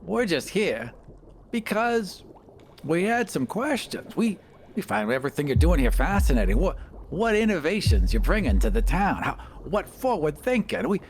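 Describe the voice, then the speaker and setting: A man voicing a wary, folksy adventurer in a tabletop game, talking smoothly to a villain. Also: a little high, sing-song, normal speed, slight background noise, voice pushed up. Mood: wary, placating. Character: adventurer stalling a villain with wary, flattering questions.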